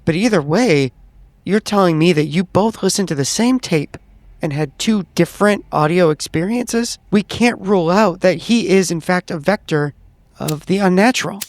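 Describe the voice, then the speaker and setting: Higher-voiced man, dry sound, reasoning. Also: moderate pace, no room sound. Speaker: player in tabletop game. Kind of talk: in-character improvised roleplay.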